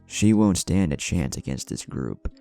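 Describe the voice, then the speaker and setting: A man's voice: deep voice, lively intonation, a little slow, creaky, mellow, faint music behind, heavily acted. A man voicing an apologetic, reasoning male village leader in a ninja story, speaking earnestly and formally. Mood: earnest explaining.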